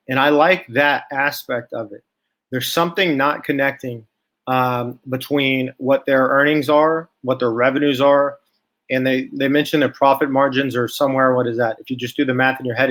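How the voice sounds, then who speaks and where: Male finance vlogger, mid-pitched, blunt and skeptical, informal off-the-cuff delivery. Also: a bit bright. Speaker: stock market commentator, channel host. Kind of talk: informal solo commentary, thinking aloud from notes.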